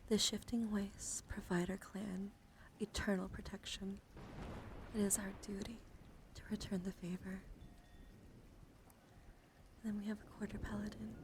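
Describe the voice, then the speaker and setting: Hushed woman doing soft ASMR, noisy background. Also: medium pitch, little pitch movement, measured pace, pauses often. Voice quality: breathy, often dropping into whisper. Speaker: streamer. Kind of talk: soft-spoken ASMR card showcase. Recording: clear background noise.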